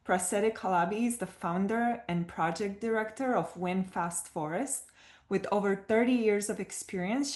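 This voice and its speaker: A woman's voice: mid-range voice, darkish tone. A woman with a Romanian accent moderating an online panel, reading speaker bios with many fillers and stumbling over names, on a video call.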